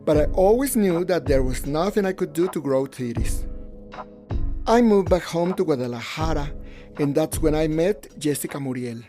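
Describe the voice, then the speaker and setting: Mellow, frank older storyteller, acted by a man. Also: a little high, expressive, normal speed, faint music behind, close to an everyday voice. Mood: frank, reminiscing. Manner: conversational, frank. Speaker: English voice-over translator of interviewee. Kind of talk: first-person dubbed storytelling.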